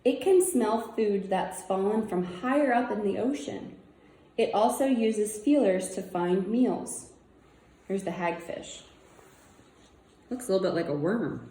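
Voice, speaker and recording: A woman's voice: medium pitch, expressive, a little slow, mellow. A woman reading a children's nonfiction book aloud, using simple child-directed phrasing and wondering aloud in casual asides. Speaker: read-aloud presenter. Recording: roomy, echoing indoor sound.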